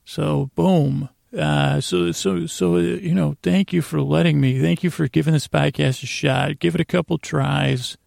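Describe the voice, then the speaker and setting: Very creaky-voiced man rambling gently. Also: a little high, dry recording, studio quality. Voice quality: creaky, gravelly. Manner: meandering, halting, trailing off and circling back; goofy, absurd deadpan nonsense. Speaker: podcast host. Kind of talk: rambling bedtime sleep podcast monologue.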